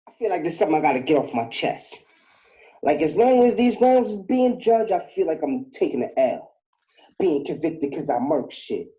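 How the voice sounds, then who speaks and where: A man's voice: a little high, sing-song, moderate pace, mellow, dull audio. A man delivering taunting, rhythmic battle rap verses. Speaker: battle rapper.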